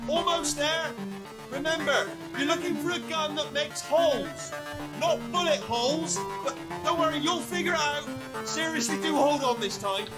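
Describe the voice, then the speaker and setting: An actor with a British accent playing a chatty, bumbling, eager robot sidekick in a video game, rambling and stammering. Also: male voice, very high voice, moderate pace, loud music behind the voice, low-quality recording. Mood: eager, nervous. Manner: rambling, stammering, falsely reassuring.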